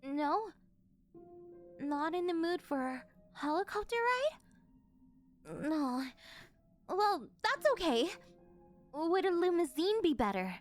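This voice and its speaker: A rich, obsessive older girlfriend in an anime-style ASMR roleplay, acted by a woman. Her voice is very high and swings animatedly as she coos and gushes at her beloved. She is clingy and over-eager, with faint music behind her. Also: sing-song, slow pace, long pauses, full character voice. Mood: hopeful, coaxing. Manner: gushing, cooing, over-eager, flustered denials. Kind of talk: ASMR roleplay acting addressed to the listener.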